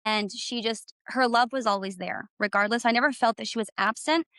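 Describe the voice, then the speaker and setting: A woman's voice: on the high side, fairly quick, bright, forceful tone, dry recording, studio quality. A middle-aged woman from Canada, a guest in an interview, speaking reflectively with hesitant fillers. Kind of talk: personal interview conversation.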